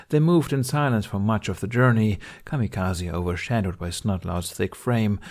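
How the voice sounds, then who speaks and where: A man's voice: lowish voice, darkish tone. A man narrating a fantasy audiobook, reading scripted storytelling prose.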